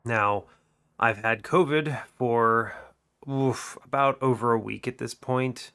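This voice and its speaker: A man's voice: medium pitch, takes it slowly, fairly bright tone, smooth. A young man hosting a gaming channel, talking through a card game while sick, his voice muted and a bit nasal, rambling and correcting himself as he goes. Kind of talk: game commentary. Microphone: desk microphone.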